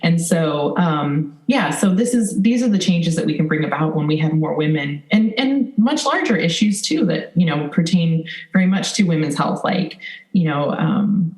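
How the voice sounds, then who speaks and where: Podcast guest: American woman, slightly low pitch, informal and earnest advocate, echoey recording. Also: expressive, normal speed, darkish tone. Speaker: podcast guest, political advocate and former candidate. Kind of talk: conversational interview. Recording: echoey room sound.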